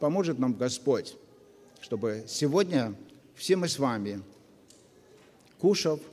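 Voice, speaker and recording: A man preaching a sermon to his congregation, earnest and full of pauses. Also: mid-range voice, expressive, darkish tone. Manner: slow, earnest, with long pauses. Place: church hall. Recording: long reverb of a church hall.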